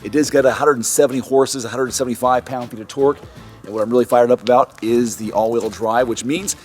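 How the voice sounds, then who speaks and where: A man's voice: medium pitch, fairly even intonation, fast, a little mellow, soft background music. A man in his forties who is losing his voice to a cold, casually talking through a car walkaround on a handheld camera, upbeat and thinking aloud. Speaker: car reviewer. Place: outdoors on a street and inside a parked car. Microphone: handheld camera at arm's length.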